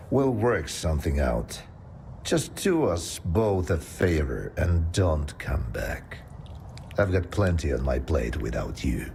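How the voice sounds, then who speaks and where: Very deep, weary scientist. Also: male voice, creaky, darkish tone, slight background noise, full character voice. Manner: slow, resigned, sighing. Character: weary, regretful gruff male scientist. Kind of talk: video game dialogue acting.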